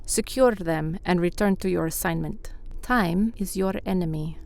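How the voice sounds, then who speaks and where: A woman's voice: lowish voice, moderate pace, gravelly, darkish tone, a little hiss or hum. An actress playing a commanding, disdainful female vampire in a radio play, speaking in an imperious, clipped way.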